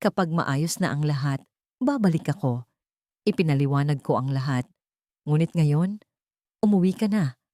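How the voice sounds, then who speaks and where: A woman's voice: sits low, expressive, moderate pace, long pauses, mellow, no room sound, studio quality. A woman narrator with a Filipino accent voicing a pleading, tearful wife with a secret in an audiobook. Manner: imploring. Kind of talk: audiobook character dialogue.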